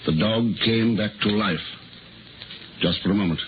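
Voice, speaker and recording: Actor as deep-voiced obsessed surgeon, tinny old radio. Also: male voice, normal speed, lightly acted. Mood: earnest confession. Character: obsessed, uneasy male surgeon turned secret experimenter. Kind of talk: 1950s radio drama acting. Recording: scratchy, tinny 1950s radio broadcast, noisy.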